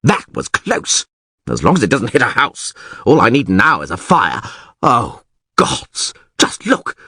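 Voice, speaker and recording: A man's voice: medium pitch, huge pitch swings, unhurried, pushed and bright, breathy edge, no room sound, clean studio sound, heavily acted. A man voicing a blustering, foul-mouthed town mayor in a fantasy storybook, bossy and exasperated. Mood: irritated alarm.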